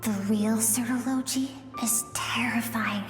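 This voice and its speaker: Slow, soft-spoken woman narrating game lore. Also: on the high side, steady tone, reverberant, long reverb tail, over music.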